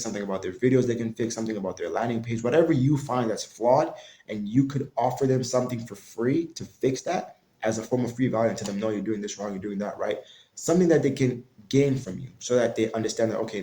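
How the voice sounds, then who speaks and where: Man, marketing coach type, talks loose and mellow, plenty of restarts, roomy echo on the track. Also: medium pitch. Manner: loose and conversational, frequent restarts and fillers. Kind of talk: casual tutorial talk. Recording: echoey room.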